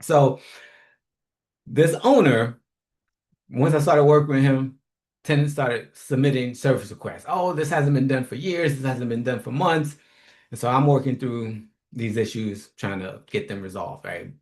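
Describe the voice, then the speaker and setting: A man from New York, originally from Haiti, hosting a casual, unscripted online show, blunt and rough around the edges, often checking in with "right?". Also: mid-range voice, measured pace, a little mellow. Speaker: host, property manager and investor. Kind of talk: casual unscripted YouTube monologue.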